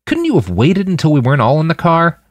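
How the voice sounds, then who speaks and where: A man's voice: a little high, huge pitch swings, fast talker, no room sound, pitched above the performer's own voice. A man voicing an exasperated, slangy young male companion in a dystopian audiobook. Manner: slangy, protesting.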